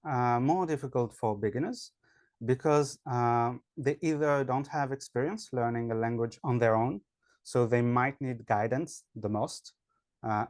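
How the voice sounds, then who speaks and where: A man's voice: medium pitch, darkish tone, no room sound, clean studio sound. A man with a French accent, an online English teacher, answering interview questions in a hesitant way with many fillers. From France.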